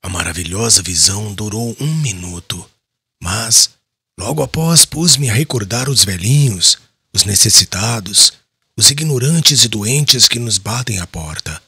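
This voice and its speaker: A devout, compassionate apostle played by a man speaks in an audiobook drama. The voice is very raspy, bright and forceful, recorded close and dry. He is solemn and earnest, a leader of his church. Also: fairly low pitch, expressive, measured pace, no echo, exaggerated voice. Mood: solemn, reverent. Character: Simon Peter, devout, compassionate male apostle leading a church.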